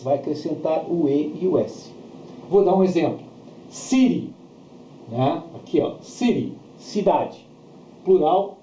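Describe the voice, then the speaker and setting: A man's voice: fairly high pitch, dark tone. A Brazilian man giving an explanatory language lesson as a teacher, repeating key words and often checking that learners follow. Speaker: English teacher. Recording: echoey room, dull sound, background noise.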